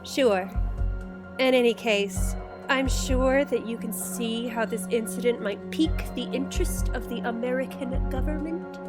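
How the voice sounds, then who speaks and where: A woman playing a sardonic, forceful American army general in an audio drama, brusque and sarcastic. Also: on the high side, unhurried, over music, lightly acted. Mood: sardonic, pressing.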